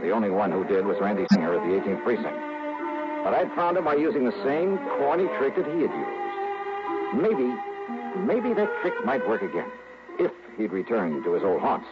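An actor playing a tough, brisk American investigator who narrates his case in a 1950s radio detective drama, with clipped, insistent delivery. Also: lowish voice, sing-song. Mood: calculating. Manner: hard-boiled, clipped, insistent. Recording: muffled old radio recording, music behind.

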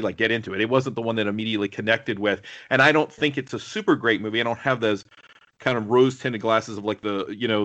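A man's voice: medium pitch, a bit bright. A man co-hosting a casual film discussion, talking in a rambling, digressive way. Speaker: podcast co-host.

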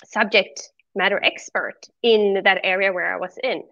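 A middle-aged woman with a Swedish accent talks as a guest in a conversational interview. Her voice is bright and mid-pitched, and her manner is candid and earnest. The sound is close and dry, with no room echo. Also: steady tone, normal speed, vocal fry, lo-fi sound. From Sweden. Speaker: interview guest, former corporate executive.

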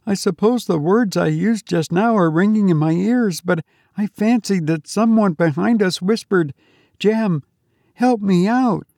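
A man's voice: high-pitched, unhurried, a little mellow, no echo, studio quality, pitched above the performer's own voice. A man voicing a young woman in an audiobook, girlish and emotional, tender and easily startled. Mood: startled, uneasy. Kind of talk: audiobook character voice.

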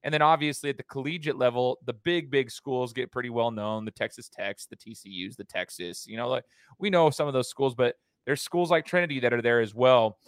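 A man's voice: medium pitch, fairly quick, smooth, dry recording. A man hosting a podcast and coaching basketball, asking long, rambling questions in a casual chatty way.

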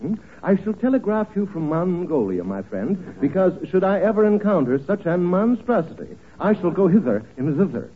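An actor playing a pompous, sly theater manager with florid, overly genteel speech in a 1940s radio comedy. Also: male voice, high voice, very animated, moderate pace, few pauses. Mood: wry, condescending. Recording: scratchy, tinny 1940s radio recording.

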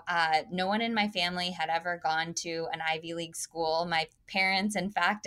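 A woman's voice: lowish voice, a bit bright. A woman from Connecticut in her thirties, a guest in a casual interview, talking in an upbeat way and laughing easily.

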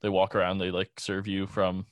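A man's voice: deep voice, completely flat delivery, moderate pace, no room sound. A man co-hosting a casual podcast, swearing freely and rambling through stories. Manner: profane, rambling storytelling. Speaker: podcast co-host. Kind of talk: casual chat. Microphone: home podcast mic.

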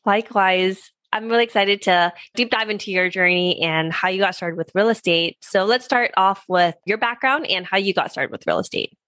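A woman hosting a podcast, reading a scripted introduction and then asking interview questions in a friendly, measured way. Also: medium pitch, fairly bright tone, no echo. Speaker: podcast host. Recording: dry close sound with faint music.

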